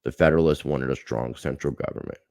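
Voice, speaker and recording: A man's voice: a bass voice, fast, vocal fry, darkish tone, no echo, clean studio sound. A man hosting a livestream, chatting casually and earnestly to his viewers. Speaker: livestream host.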